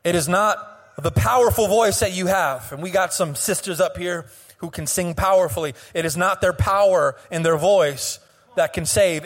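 A fairly high-pitched young man preaching from the pulpit, his voice a touch hoarse. He leans into a sung, drawn-out delivery, repeats himself and restarts often. His voice rings with the long reverb of a church sanctuary. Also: fairly bright tone. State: slightly hoarse. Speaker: pastor. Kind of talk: sermon.

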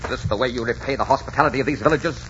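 An actor playing a commanding, ardent Spanish conquistador who also narrates, in a dramatic 1940s radio adventure style. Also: male voice, medium pitch, racing, fairly bright tone, a little hiss or hum, natural voice. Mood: commanding anger. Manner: dramatic, authoritative. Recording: dull 1940s radio recording, music behind.

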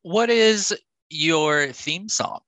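A man's voice: on the high side, drawn-out words, pushed and bright, no room sound, poor audio. A man hosting a casual podcast interview, putting questions to a guest in an encouraging way. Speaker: podcast host. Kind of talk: casual interview, asking quick-fire questions.

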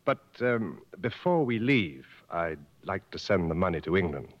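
World-weary safari guide, wide-swinging delivery. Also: male voice, sits low, sing-song. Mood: grave, frank. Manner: frank, measured, dry. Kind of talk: 1950s radio drama acting. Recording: muffled old radio broadcast, long reverb.

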